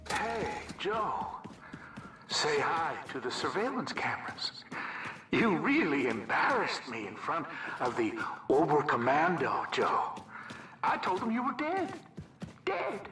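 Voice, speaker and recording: An actor playing a sadistic Nazi commander in a video game, with a menacing, mannered delivery. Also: male voice, on the high side, wildly swooping pitch, slow, sharp tone, heavily acted. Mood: taunting menace. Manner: menacing, mannered, lingering on words. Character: sadistic, theatrical Nazi commander villain, male. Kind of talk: theatrical video game villain acting. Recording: echoey, distant, very noisy ambience.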